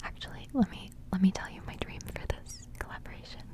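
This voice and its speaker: A young woman whispering a relaxed music reaction in ASMR style, giggling softly and rambling with plenty of fillers. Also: fairly quick. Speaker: ASMR creator reviewing music.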